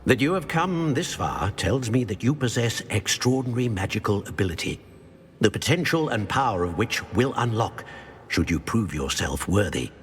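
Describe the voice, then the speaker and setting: A solemn ancient wizard portrait. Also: male voice, fairly low pitch, very animated, slightly rough, exaggerated voice. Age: older. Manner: grave, measured and formal. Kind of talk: video game cutscene acting.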